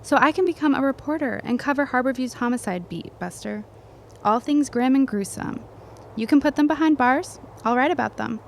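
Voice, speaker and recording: A woman's voice: high-pitched, moderate pace, vocal fry, a little hiss or hum. A woman playing a wry, strong-willed young woman in a period audio drama. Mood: teasing.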